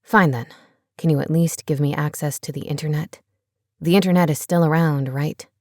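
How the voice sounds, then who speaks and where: A woman's voice: low-pitched, fairly even intonation, normal speed, no echo, clean studio sound, voice pushed down. A woman reading voicing a bitter, newly cloned man who lashes out in anger. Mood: pleading, frustrated. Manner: angry, forceful.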